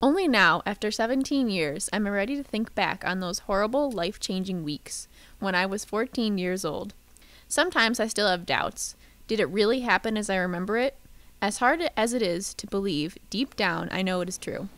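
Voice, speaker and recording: A woman playing a reflective grown narrator looking back, in measured, scripted radio play narration. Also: mid-range voice, lively intonation, a bit bright. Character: reflective grown woman recalling haunted childhood.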